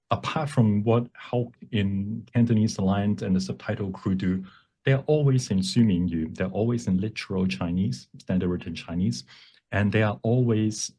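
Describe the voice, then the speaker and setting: Deep, husky-voiced man presenting a workshop. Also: mellow. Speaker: workshop presenter and font developer. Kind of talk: informal workshop presentation with demonstration.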